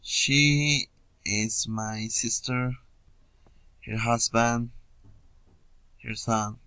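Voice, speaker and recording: Young male student, Peruvian Spanish accent, slow and hesitant English, mid-range pitch, dry close sound. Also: steady tone, sharp tone, clear, resonant tone, no echo. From Puno, Peru. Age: young adult. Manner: halting, hesitant learner English with pauses. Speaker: student introducing himself. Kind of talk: informal self-introduction video.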